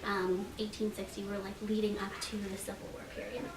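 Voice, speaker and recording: A woman giving an informal, slightly halting introduction with historical background at a live event. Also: mid-range voice, level tone. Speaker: presenter introducing readers at a library event. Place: library reading room at a public event. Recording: echoey room, distant mic, clear background noise.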